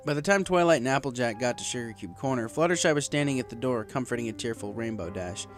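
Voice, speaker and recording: A man's voice: mid-range voice, animated, quick pace, soft background music. A man reading a story aloud as scripted narration. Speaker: fan fiction narrator.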